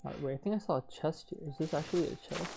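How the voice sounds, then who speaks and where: Young male gaming host, rapid and excited, mellow voice; faint game music under him. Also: a little high, vocal fry. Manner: excited, loud, slangy. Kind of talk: casual gameplay commentary.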